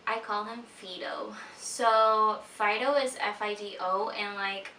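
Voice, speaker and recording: A woman's voice: mid-range voice, sharp tone, a little hiss or hum. A woman in her twenties from Peru, a native Spanish speaker, chatting casually to her viewers in a sit-down vlog, with lots of "like" fillers and giggly asides. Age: 20s. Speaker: vlogger. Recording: roomy echo, voice a bit far from mic.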